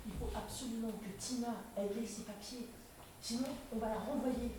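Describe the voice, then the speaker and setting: A woman's voice: a little high, steady tone, brisk, mellow, a little hiss or hum, close to an everyday voice. An actress in a live improv show playing an anxious female activist who talks urgently and repeats herself. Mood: worried. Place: theatre stage. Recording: echoey stage from a distance.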